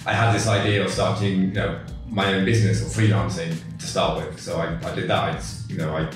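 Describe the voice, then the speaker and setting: A man's voice: low voice, brisk. An English man in his forties, a tech company co-founder, talking casually in an interview with lots of fillers and restarts. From England. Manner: relaxed, rambling with many fillers and restarts. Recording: echoey room, far from the mic, music behind.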